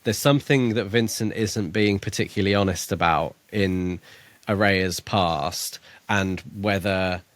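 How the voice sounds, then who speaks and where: Deep-voiced, thoughtful man. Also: unhurried, fairly bright tone, no room sound. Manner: thoughtful, hesitant, trails off and restarts. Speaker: podcast co-host. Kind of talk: casual book discussion.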